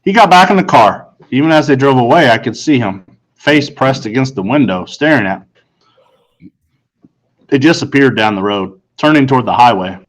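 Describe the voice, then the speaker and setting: A man narrates a children's story in the first person. His voice sits at a middle pitch, and he tells it earnestly and deliberately, as an audiobook reader. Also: expressive, low-quality recording.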